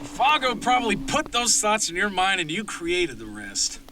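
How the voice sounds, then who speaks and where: A man playing a hearty backwoods man in a horror audio drama, with folksy rural phrasing and a storyteller's pauses. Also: high voice, huge pitch swings, noisy background. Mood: ominous storytelling. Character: gruff, hearty backwoods man retelling wilderness legends.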